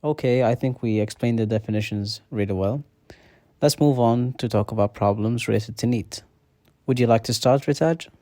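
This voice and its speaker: A man's voice: medium pitch, normal speed, mellow, dry recording, studio quality. A young man from Kuwait, a student presenting at a seminar, reading prepared points steadily.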